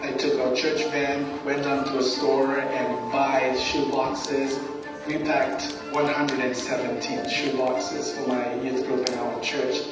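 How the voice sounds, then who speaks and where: A man from Fiji telling his own story in a slow, reflective way, now doing children's ministry work. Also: mid-range voice, little pitch movement. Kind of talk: personal testimony in a video. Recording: video played over hall speakers, echoey, from far off, with music.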